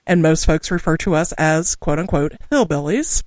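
A woman from Southwest Missouri with a local accent, co-hosting a podcast, chatting casually, laughing often and rushing through lists of names. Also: sits low, darkish tone, no room sound, clean studio sound. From Southwest Missouri, USA. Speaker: podcast co-host. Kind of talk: casual chat and reading listener names.

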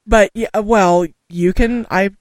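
A woman from Southwest Missouri with a local accent, co-hosting a podcast, chatting casually, laughing often and rushing through lists of names. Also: mid-range voice, steady tone, dry recording, clean studio sound. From Southwest Missouri, USA. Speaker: podcast co-host. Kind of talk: casual chat and reading listener names.

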